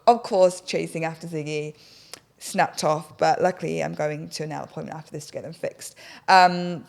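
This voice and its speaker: A young woman, a little husky today, chatting casually while doing her makeup in a home studio. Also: low voice, steady tone, moderate pace, a little mellow. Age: young adult. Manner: chatty, rambling, self-deprecating. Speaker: podcast host. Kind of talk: casual get-ready-with-me chat. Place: bright home studio, indoors. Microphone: desk podcast mic on an arm.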